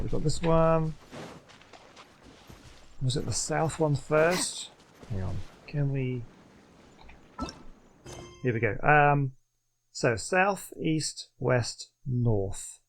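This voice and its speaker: A man's voice: a little high, vocal fry. Man thinking aloud over faint background noise. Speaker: gamer doing a playthrough. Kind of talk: casual gameplay commentary.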